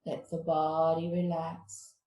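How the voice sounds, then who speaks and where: A woman teaching meditation, giving guided relaxation instructions in a calm, measured, soothing manner. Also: deep voice, roomy sound.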